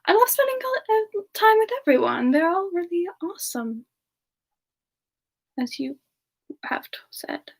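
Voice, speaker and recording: A woman playing a sweet, affectionate young female character in soft semi-ASMR chat, gently answering listeners with endearments. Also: very high voice, big pitch swings, a little slow, creaky, pauses often, full character voice. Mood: sweet, shy. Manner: gentle, affectionate, addresses listeners as dear and love. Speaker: streamer answering chat and singing requests.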